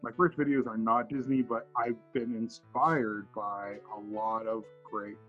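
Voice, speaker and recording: Halting Canadian man on a dull recording. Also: mid-range voice, fairly even intonation. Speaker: podcast guest, video blogger. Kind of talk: casual interview chat. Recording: dull lo-fi audio, faint guitar music.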